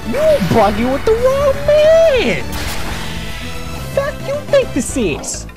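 Excitable, swooping young male gamer. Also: extremely high pitch, slow pace. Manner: profane, slangy, excitable, talking to himself. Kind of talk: casual gameplay commentary. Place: at home at night. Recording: music behind the voice. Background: music.

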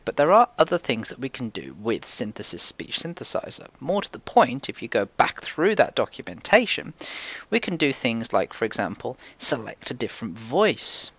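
Animated man giving a casual tech tutorial. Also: medium pitch, no room sound. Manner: informal, thinking aloud. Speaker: tutorial presenter. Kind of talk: casual screencast coding tutorial.

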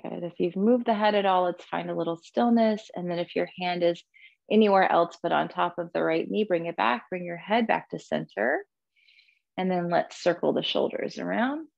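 A woman teaching a guided yoga class in a soothing, gentle, unhurried manner, cueing breath and movement. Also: lowish voice, gravelly, no room sound, highs cut off.

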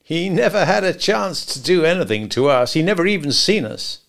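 A man voicing a sardonic gangster hitman who taunts a captive with slow, mocking talk. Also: a little high, expressive, gravelly, a bit bright, pitched above the performer's own voice. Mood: smug.

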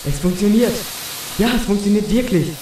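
A man's voice: sits high, fairly quick, lightly acted. An actor playing an unhinged, insolent young male arsonist who taunts in a German audio drama. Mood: gleeful. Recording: echoey, distant, noisy hissing ambience.

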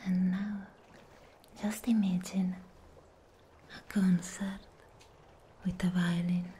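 Woman as serene anime sea guardian for ASMR roleplay: breathy whisper, very slow, mellow, soothing. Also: lowish voice, level tone, slight background noise.

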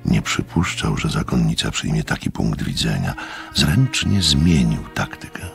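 An extremely deep, creaky male voice as a sceptical gentleman narrator. He tells a radio mystery reflectively, with lively swings of pitch and faint music behind. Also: a little slow, full character voice. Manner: reflective, storytelling.

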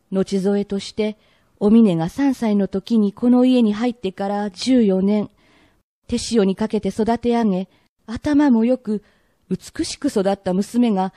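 A woman tells a period tale as its narrator. Her voice is mellow and mid-range, her phrasing polite, and the sound is very dry. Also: medium pitch, no room sound.

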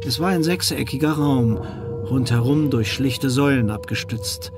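A man narrating a children's fantasy radio play in a measured, suspenseful way. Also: mid-range voice, lightly acted. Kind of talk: scripted narration. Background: music.

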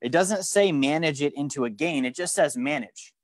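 A man's voice: a little high, moderate pace, no room sound. A young man teaching a live finance course in a studio, talking animatedly and often stopping to ask the room questions. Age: young adult. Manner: animated, gestures at a screen while teaching; frequent restarts and questions to the room. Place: studio with a branded backdrop and a large screen.

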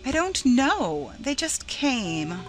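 A woman's voice: on the low side, normal speed, a bit bright, soft background music. A woman voicing a wretched, tearful young schoolgirl in a storybook, petulant and pleading as she defends herself. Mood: abject.

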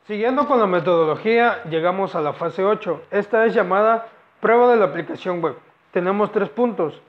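A young man giving a halting student presentation, explaining project phases and repeating phrases as he goes. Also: sits high. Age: young adult. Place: indoors against a plain wall. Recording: dull lo-fi camera audio.

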